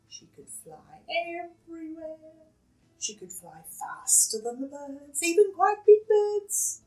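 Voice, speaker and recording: A woman reads a children's picture book in an unhurried, animated voice. Her delivery shifts dramatically between hushed and loud. The recording is roomy, with her some way from the mic. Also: lowish voice, far from the mic. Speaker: storyteller. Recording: roomy echo, away from the mic.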